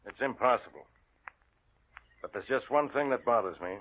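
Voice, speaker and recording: A testy, blunt police inspector acted by a man in a vintage radio drama. His delivery swings widely in pitch and bristles with exasperation. The sound is narrow and muffled, with light surface noise. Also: animated, moderate pace, natural voice. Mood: probing, puzzled. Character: gruff, irritable police inspector, easily needled. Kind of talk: 1940s radio acting. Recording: muffled, noisy old radio recording.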